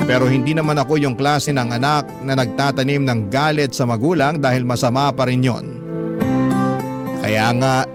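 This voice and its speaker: Man narrating over prominent music. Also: fairly high pitch. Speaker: radio drama narrator. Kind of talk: dramatic reading of a listener's letter.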